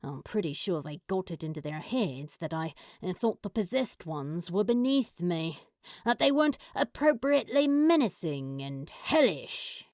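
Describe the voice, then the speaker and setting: A woman's voice: on the low side, big pitch swings, a little slow, a little mellow, no room sound, clean studio sound. Defensive, sheepish male demon for audio fiction , female performer: drawling pace, hesitant, very varied intonation. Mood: awkward, defensive. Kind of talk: multi-voice fan fiction audio drama.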